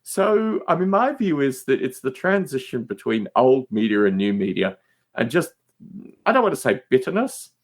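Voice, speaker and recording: A man's voice: mid-range voice, big pitch swings, moderate pace. A man chatting casually as a podcast guest, telling digressive anecdotes with emphatic asides.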